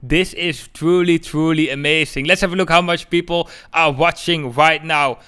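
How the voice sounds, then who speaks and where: A man's voice: fairly high pitch, steady tone, normal speed, sharp tone, clean tone. A man from the Netherlands with a Dutch accent, hosting a live crypto trading stream and answering viewers in a chatty way. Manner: chatty, keeps calling viewers "guys". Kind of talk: live-stream chart talk, answering chat.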